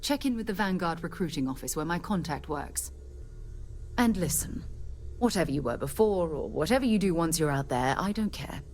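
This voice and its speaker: Woman acting a composed, low-voiced space explorer captain. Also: lively intonation, normal speed, darkish tone, faint music behind, lightly acted. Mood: firm, principled. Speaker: expedition leader. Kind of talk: video game character dialogue.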